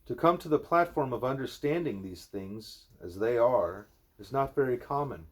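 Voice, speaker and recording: A man reading a spiritual letter aloud at home, in a measured, earnest voice with deliberate pauses. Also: medium pitch, expressive. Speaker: reader of a spiritual book.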